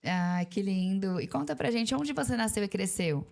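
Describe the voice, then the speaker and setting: A woman hosts a podcast interview. Her voice is medium in pitch, and she sounds casual and encouraging as she puts questions and reacts to her guest. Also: lively intonation, moderate pace, gravelly, a little mellow. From Montes Claros, Minas Gerais, Brazil. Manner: encouraging, reacts with short exclamations. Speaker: podcast host.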